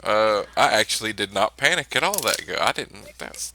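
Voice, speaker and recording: A man's voice: on the low side, lively intonation, moderate pace, pushed and bright, a little hiss or hum. A man playing a tabletop role-playing game, chatting in an easygoing way. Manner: laughs often, easygoing. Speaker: player. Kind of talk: casual game chat.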